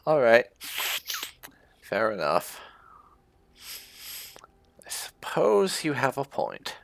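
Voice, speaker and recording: A woman's voice: a bass voice, wildly swooping pitch, extremely slow, gravelly, long pauses. A woman doing roleplay game commentary, musing and hesitant, with thoughtful hums as she reads dialogue choices aloud. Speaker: gaming video host and player.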